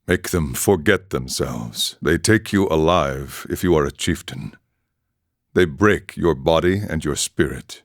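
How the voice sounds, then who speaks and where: A man voicing a weathered, philosophical warrior monk and former chieftain in a video game, telling his tale in a measured, solemn, reflective way. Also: very deep voice, no echo, studio quality, full character voice. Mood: grim, calm. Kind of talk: scripted dramatic storytelling monologue.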